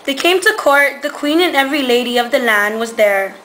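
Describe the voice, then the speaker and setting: A young woman narrates a tale from a script in a high, bright voice. It is an amateur recording with faint background noise. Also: animated, fairly quick. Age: teenager.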